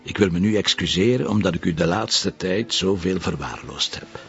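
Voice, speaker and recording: An actor playing a weary middle-aged Flemish police commissioner, gravelly and coaxing, in a TV drama. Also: male voice, deep voice, moderate pace, fairly bright tone. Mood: tender. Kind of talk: TV drama acting. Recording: dull lo-fi TV audio.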